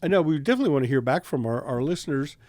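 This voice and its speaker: A man's voice: mid-range voice, animated, racing, a little mellow, no room sound. A man talking casually in a studio conversation, as a writer and public broadcaster introducing a radio drama.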